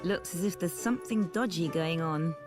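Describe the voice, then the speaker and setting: An actress playing an observant, matter-of-fact companion in a video game. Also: female voice, fairly low pitch, normal speed, natural voice. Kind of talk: video game character dialogue. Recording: music under the voice.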